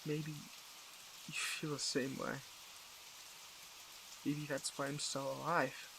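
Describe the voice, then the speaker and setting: A man acting as a tender, conflicted hero in love with a villain, in an intimate audio drama. The voice is creaky and mid-pitched with an even melody, halting and full of earnest feeling. Faint rain sits behind it. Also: noisy background. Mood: anguished, hesitant. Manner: halting, earnest, emotional delivery. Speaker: voice actor in an audio roleplay.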